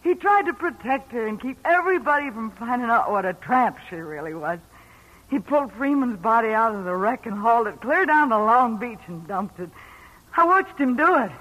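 A woman's voice: on the high side, big pitch swings, normal speed, a bit bright, poor audio. A scared but brassy gangster's ex-girlfriend in an old radio drama. She talks with raw emotion and a bitter, jealous edge. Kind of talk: 1940s radio acting.

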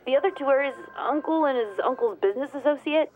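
A woman's voice: high voice, fast talker, phone-quality sound, natural voice. An actress playing a frightened ordinary woman in an audio drama, narrating and speaking in a tense, hesitant way. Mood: nervous. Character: anxious ordinary woman, a frightened witness narrating her ordeal. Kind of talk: first-person audio drama narration and dialogue.

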